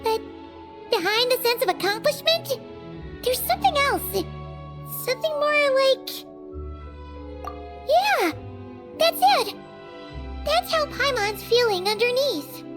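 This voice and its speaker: A woman voicing a tiny, chirpy, excitable childlike fairy companion with a squeaky voice in a video game. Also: takes it slowly, long pauses, exaggerated voice. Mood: pensive. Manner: speaks of self in third person, exclamatory. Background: music.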